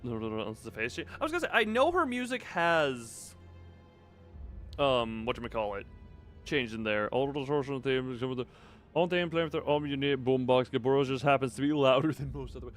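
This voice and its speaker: A man's voice: medium pitch, animated, fairly quick, fairly bright tone. A man livestreaming a game, commenting casually and thinking aloud in a rambling way as he goes. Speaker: game streamer. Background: game music.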